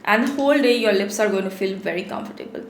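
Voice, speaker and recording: A woman's voice: medium pitch, fast talker, echoey room, slight background noise. A woman in her thirties with a sore throat, chatting casually to camera as she reviews products in a small home studio. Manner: chatty, repeats words for emphasis. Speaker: beauty vlogger.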